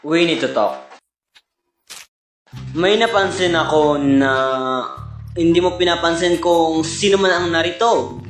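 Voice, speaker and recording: Man voicing a high-voiced, earnest cartoon bear. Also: steady tone, a little slow, long pauses, reverberant, over music, natural voice. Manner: earnest, preachy. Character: earnest, fretful animatronic bear team leader, male. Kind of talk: cartoon fan-dub acting.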